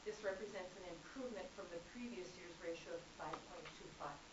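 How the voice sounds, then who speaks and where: A woman, an accounting instructor, giving a formal prepared public comment at a college board meeting in a measured, businesslike way. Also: on the low side. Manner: measured, businesslike, reading figures. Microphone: speaking without a microphone. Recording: echoey distant room recording, dull, noisy.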